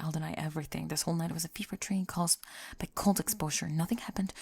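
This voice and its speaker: A woman playing a prickly but secretly affectionate tomboy friend in an ASMR roleplay, sleepy and often whispering, deadpan and grudgingly tender. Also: low-pitched, gravelly, slightly rough, no echo, voice pushed down, full character voice. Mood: shyly tender. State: drowsy. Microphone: close ASMR microphone.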